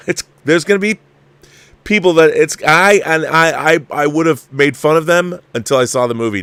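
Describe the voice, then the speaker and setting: Low-voiced man giving emphatic film opinions. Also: a bit bright. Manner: emphatic, rambling, repeating phrases for emphasis. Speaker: host of a live movie review show. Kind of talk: casual livestream film commentary, reading viewer messages.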